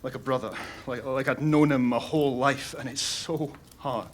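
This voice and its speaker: An actor playing a troubled, stubborn young knight in an audio drama, speaking haltingly and with strain. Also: male voice, mid-range voice, fairly even intonation, natural voice. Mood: defensive, pained.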